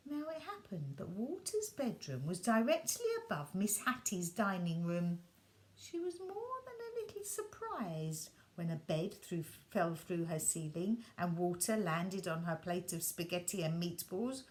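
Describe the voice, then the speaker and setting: A woman reading a children's bedtime story aloud, gentle and expressive, sometimes dropping her voice soft. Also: on the low side, unhurried.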